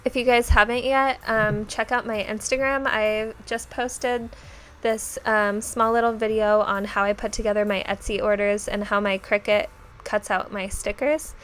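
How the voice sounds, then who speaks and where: A woman's voice: mid-range voice, little pitch movement, moderate pace, fairly bright tone, slight background noise. A young woman who sounds nasal as she gets over a cold, chatting casually with many ums and likes as she narrates her journal spread. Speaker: bullet journal vlogger.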